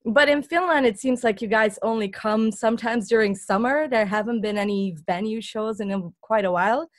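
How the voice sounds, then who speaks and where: A young woman from Belgium interviewing a musician over a video call, friendly and quick to laugh. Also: fairly high pitch. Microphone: laptop webcam on video call.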